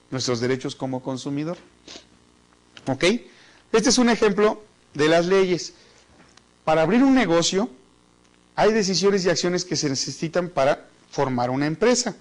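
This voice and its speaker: A man with a Mexican accent teaching a business class in a chatty, joking way, often repeating words as he thinks. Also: a little high. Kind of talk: online business class lecture.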